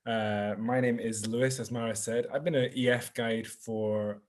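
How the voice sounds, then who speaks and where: A Scottish man in his thirties, a tour guide giving a relaxed, chatty virtual tour over a video call. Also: medium pitch, unhurried. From Scotland. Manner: relaxed and chatty, sprinkling in French words. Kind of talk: live virtual tour presentation. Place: home in Marseille.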